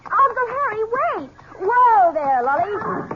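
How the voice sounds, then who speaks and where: A woman's voice: very high-pitched, very animated, slow, exaggerated voice. An actress playing a headstrong, lovestruck young woman who loves horses, in theatrical, impassioned 1970s radio drama style. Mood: playful, hopeful. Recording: dull, noisy 1970s radio recording.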